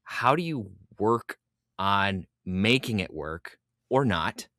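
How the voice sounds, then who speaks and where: A man's voice: lowish voice, animated, slow, a bit bright, no echo, studio quality. A man hosting a podcast interview, chatting casually and adding wry asides for the listeners.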